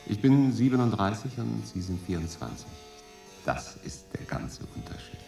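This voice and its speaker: A man's voice: fairly low pitch, sing-song, moderate pace, creaky, mellow, soft background music. An actor in his late thirties playing a cool, urbane English consul in a German radio drama, thoughtful, dry and understated. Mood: wry, musing. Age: late 30s.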